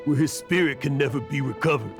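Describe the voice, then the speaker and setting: Man playing a gravelly, sorrowful old adventurer. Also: medium pitch, quick pace, over music, close to an everyday voice. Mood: despairing, remorseful. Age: older. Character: guilt-ridden old male adventurer, sorrowful and humble. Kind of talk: video game drama acting.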